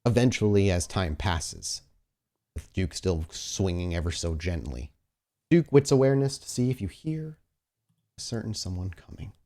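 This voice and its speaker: A man narrating a tabletop roleplaying game as game master, dramatic, with deliberate pauses. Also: sits low, expressive, warm tone. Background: faint music.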